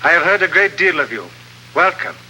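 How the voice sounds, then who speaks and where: An actor playing a suave, menacing ringleader in a 1950s radio drama, speaking formally and deliberately. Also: male voice, fairly high pitch, animated, lightly acted. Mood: suave welcome. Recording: old radio recording, faint hum and noise.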